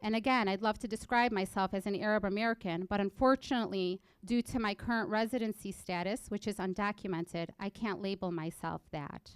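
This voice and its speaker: A nineteen-year-old woman from Egypt, raised in Illinois, reading a prepared personal story in an earnest, measured way at a college library event. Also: mid-range voice, steady tone, smooth, dry recording. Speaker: student speaker.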